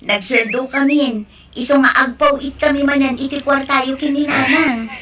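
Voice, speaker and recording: A woman's voice: high-pitched, steady tone, normal speed, fairly bright tone, a little hiss or hum. A woman playing a responsible, caring elder sister in an Ilocano radio drama, speaking earnestly with an Ilocano Filipino accent. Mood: caring, upbeat. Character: responsible, caring elder sister working abroad for her family.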